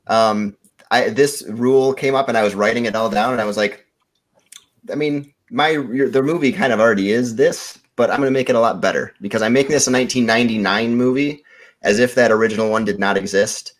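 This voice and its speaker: A man giving a casual, rambling movie pitch as a podcast contestant. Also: brisk.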